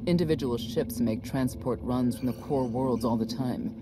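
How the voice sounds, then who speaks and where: A man's voice: mid-range voice, normal speed, very noisy, close to an everyday voice. A man playing an earnest, practical military strategist in a video game, speaking matter-of-factly. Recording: loud music behind the voice.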